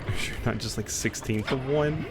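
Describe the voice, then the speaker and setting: A man talking through a game as he plays, chatty and rambling with quick reactions. His voice sits in a middle pitch, and a faint cough from a cold comes through now and then. Game noise plays clearly in the background. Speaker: streamer. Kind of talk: casual gameplay commentary.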